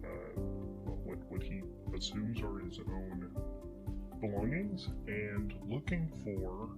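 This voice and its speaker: A man's voice: on the low side, slow, loud music behind the voice. A man narrating a solo tabletop game as host and game master, in a conversational storytelling manner.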